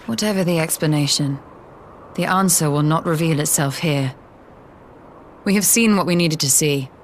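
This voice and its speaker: A learned young sorceress in a fantasy game cutscene , acted by a woman. Her voice sits a little low, and she reasons in formal, measured, analytical phrases. Faint ambient noise lies behind the dialogue. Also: close to an everyday voice. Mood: thoughtful, composed. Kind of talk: dramatic video game cutscene acting.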